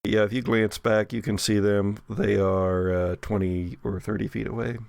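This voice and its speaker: A man's voice: on the low side, little pitch movement, moderate pace, vocal fry, darkish tone. A man improvising narration as game master of a horror tabletop game.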